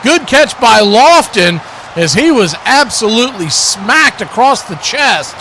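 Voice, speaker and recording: Guy with a high voice doing live football play by play on a stream, short punchy calls, noisy background. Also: a little slow. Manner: clipped phrases, excited on big plays. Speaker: play-by-play commentator.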